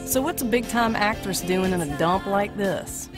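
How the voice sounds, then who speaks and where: A woman's voice: mid-range voice, moderate pace, natural voice. A woman playing a plain-spoken, folksy diner waitress in her thirties in an amateur audio play. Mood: starstruck. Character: weary mid-thirties female diner waitress, brusque, turning starstruck fangirl. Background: music.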